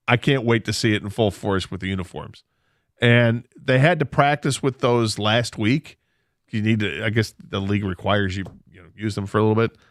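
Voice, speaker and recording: A man's voice: lowish voice, dry recording, studio quality. Opinionated male sports radio host. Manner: opinionated, emphatic, laughs easily.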